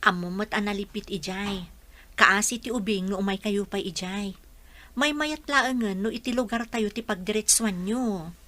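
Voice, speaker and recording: An actress in an Ilocano radio drama playing a caring, practical friend who gives advice. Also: female voice, mid-range voice, normal speed, fairly bright tone, lightly acted. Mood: concerned.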